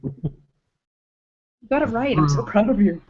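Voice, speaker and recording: A woman's voice: mid-range voice, sing-song, slow pace, darkish tone, slightly rough. A woman co-hosting a podcast in casual, playful and teasing banter. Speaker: podcast co-host. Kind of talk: casual banter about anime. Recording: dull lo-fi audio.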